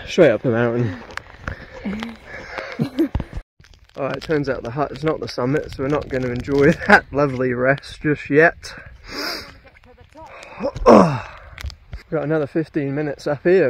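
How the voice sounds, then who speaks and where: A man's voice: medium pitch, expressive, unhurried, long pauses, slight background noise. A young man, chatting breathlessly into a phone camera while hiking a rainy hilltop. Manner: breathless, chatty, laughing between remarks. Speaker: vlogger. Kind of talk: casual travel vlog narration. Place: outdoors on a rainy misty hilltop. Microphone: phone camera at arm's length.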